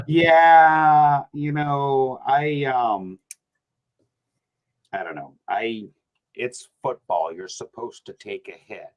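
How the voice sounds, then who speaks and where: A man, a podcast guest chatting casually, animated and rambling, laughing often. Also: mid-range voice, very slow, long pauses, highs cut off. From New Hampshire. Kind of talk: casual sports chat.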